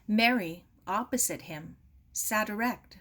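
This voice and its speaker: A woman narrating a novel as an audiobook reader, expressive, deliberate and grave. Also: medium pitch. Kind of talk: dramatic scripted narration of a novel.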